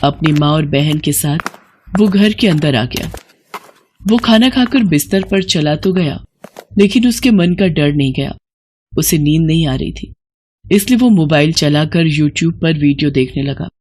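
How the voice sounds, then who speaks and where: A woman's voice: deep voice, animated, measured pace, a little mellow. A woman reading a scripted horror story as a dramatic narrator.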